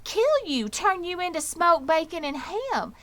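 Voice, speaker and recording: A woman's voice: extremely high pitch, very animated, measured pace, fairly bright tone, voice pushed up, heavily acted. A woman voicing a grim, knowing old female sheep in a children's storybook, blunt and snappish. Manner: matter-of-fact, snappish. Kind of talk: children's storybook character reading.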